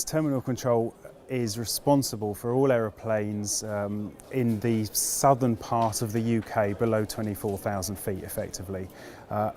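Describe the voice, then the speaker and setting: A British man giving reflective interview answers as an air traffic controller, in a measured, understated, explanatory manner. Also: on the low side, warm tone.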